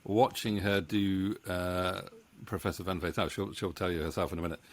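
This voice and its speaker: A man with a deep voice moderates a casual chat from a home office, close to the microphone. He speaks haltingly, often pausing and circling back mid-sentence as he hosts. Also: fairly even intonation. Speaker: interview host, audio drama maker. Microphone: studio mic on boom arm, close.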